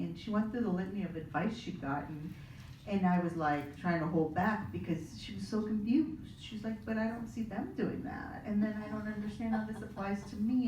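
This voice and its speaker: Mellow-voiced woman telling a lively story. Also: lowish voice, slight background noise. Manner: animated storyteller, quick asides. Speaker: panelist. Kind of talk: panel discussion. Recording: echoey room audio from far off.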